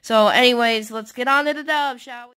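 A woman's voice: on the high side, fairly quick, sharp tone, clear, resonant tone. A young woman chatting casually and rambling with lots of fillers, sounding tired, recorded on a phone. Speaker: amateur comic dubber. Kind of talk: casual chat.

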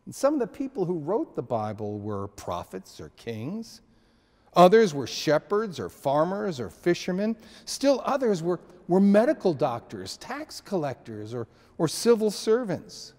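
A man in his sixties preaching a sermon in a church sanctuary, slow and measured, with frequent restarts and emphatic pauses. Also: high voice, big pitch swings, a little mellow, long reverb tail. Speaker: pastor.

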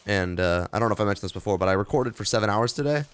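A young man with a hoarse, worn-out voice, rambling casually through game patch notes as he plays. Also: on the low side, lively intonation, racing. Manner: rambling, offhand, reading patch notes aloud with asides. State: hoarse, tired voice. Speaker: gaming video host. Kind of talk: casual gameplay commentary.

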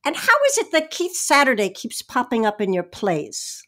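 A woman hosting an interview, putting measured questions to her guests. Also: on the high side, sing-song, bright, forceful tone. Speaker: interview host. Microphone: remote recording.

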